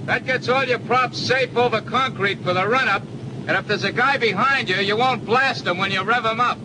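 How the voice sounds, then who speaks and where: An actor playing an experienced, practical flight instructor in a 1940s training film, giving brisk, commanding instructions. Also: male voice, very high voice, noisy background. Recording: old film soundtrack, loud cockpit engine drone.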